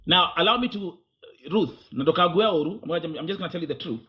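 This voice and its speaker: Fast, pushy Kenyan-born man interviewer. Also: fairly high pitch, lively intonation, dull audio. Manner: pushy, talks over the guest, mixes English and Swahili. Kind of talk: confrontational interview.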